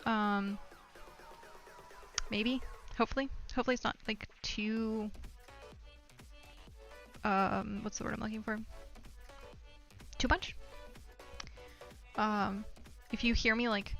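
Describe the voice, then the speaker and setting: A high-pitched woman with a noticeably creaky edge chats casually on a livestream. She rambles and thinks out loud, with music playing behind her. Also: fairly even intonation, slow pace, pauses often. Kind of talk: casual chat to stream viewers. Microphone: streaming microphone.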